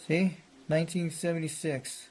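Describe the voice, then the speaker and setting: Male hobbyist presenter, slightly high voice, casual rambling repetitive show-and-tell delivery, faint background noise. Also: flat delivery, normal speed, a little mellow.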